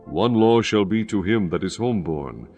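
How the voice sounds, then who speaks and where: A man narrating scripture from a script in a solemn, measured, formal way. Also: fairly low pitch, expressive, a little mellow. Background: music.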